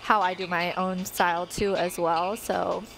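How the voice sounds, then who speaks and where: A woman's voice: fairly low pitch, flat delivery, unhurried, gravelly, fairly bright tone, poor audio. A young woman in her twenties, a native Tagalog speaker, streaming from her bedroom on a headset and chatting in a rambling way with lots of fillers. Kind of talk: casual chat while playing a life-sim game. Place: bedroom at home, indoors. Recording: game music playing behind.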